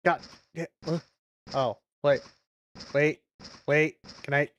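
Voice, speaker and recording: A woman's voice: low-pitched, steady tone, takes it slowly, highs cut off, slight background noise. A woman streaming a video game, commenting casually with a lot of exasperated swearing and exclaiming. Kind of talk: casual live gameplay commentary.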